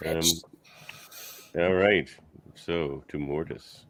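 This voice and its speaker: A man's voice: extremely low pitch, extremely slow. A man hosting a debate show in a casual, unscripted way.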